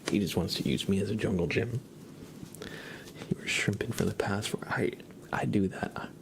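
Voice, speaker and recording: A soft-spoken man hosting a live ASMR stream, chatting casually with viewers and often dropping into whispers, close to the microphone. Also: fairly low pitch, normal speed, creaky. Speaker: ASMR livestreamer, host.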